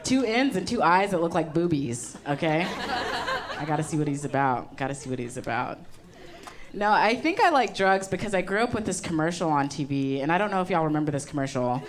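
A woman's voice: low-pitched, animated, fairly quick. A young woman doing a stand-up comedy set on stage with a handheld microphone, delivering conversational punchlines with frequent ums. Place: comedy stage before a live audience. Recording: live show with loud crowd laughter. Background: loud audience laughter.

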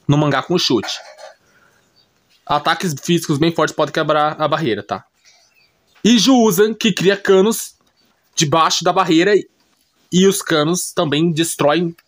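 A man with a Brazilian accent giving a casual, unscripted explanation as a YouTuber, repeating himself and often checking that the listener follows. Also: a little high. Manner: repetitive, often checks listener understanding with short tag questions. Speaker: YouTuber recapping anime and manga.

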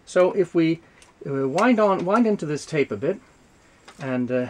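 A man giving an unscripted explanatory demonstration, speaking haltingly with frequent hesitations and self-corrections. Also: a little high, lively intonation, a little mellow, a little hiss or hum. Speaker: presenter demonstrating vintage audio gear.

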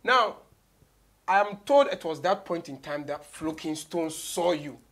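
A man's voice: a little high, animated, unhurried, a bit bright. A Ghanaian man in his thirties hosting a casual interview, slipping between English and Twi. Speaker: radio show host, interviewer. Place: small radio studio office, seated at a desk.

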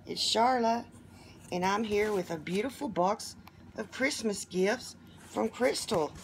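A middle-aged woman with a hoarse voice, chatting casually as she opens gifts at home and gushes over each one. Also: medium pitch, noisy background. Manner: gushing, softly delighted exclamations. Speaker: vlogger opening gifts. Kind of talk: casual unboxing chat. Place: living room at home.

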